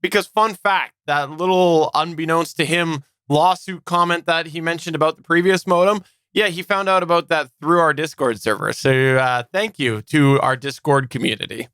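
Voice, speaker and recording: A man's voice: fairly high pitch, moderate pace, hardly any pauses, fairly bright tone, clean tone, no echo. A man hosting a podcast, presenting to listeners in an organized way. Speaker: podcast host.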